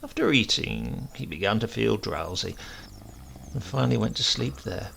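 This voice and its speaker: A man reading a literary comic story as an expressive, deliberate storyteller. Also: lowish voice, gravelly, a little hiss or hum. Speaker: reader of a comic short story. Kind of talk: scripted narration, literary storytelling.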